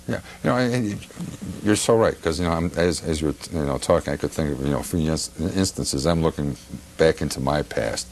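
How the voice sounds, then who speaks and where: A middle-aged American man hosting a TV interview in a halting, rambling, casual way, often repeating himself. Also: extremely low pitch, a little mellow. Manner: halting, rambling, repeats phrases and leans on "you know". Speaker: TV show host. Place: television studio. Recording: older TV broadcast with faint background noise.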